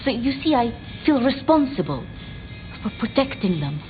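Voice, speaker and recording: Timid, high-voiced, stammering vampire researcher. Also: female voice, very animated, phone-quality sound, soft background music. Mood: tender, earnest. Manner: stammering, hesitant, halting and apologetic. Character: timid, flustered, bookish female vampire researcher, devoted to her retainers. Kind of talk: video game dramatic dialogue.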